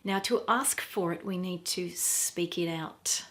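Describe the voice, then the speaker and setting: A middle-aged woman with a quiet, soft voice, talking earnestly to camera as a teacher, wearing a clip-on mic. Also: on the low side, slow. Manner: earnest, emphatic, repeats for stress. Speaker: feminine empowerment teacher. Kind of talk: direct-to-camera talk.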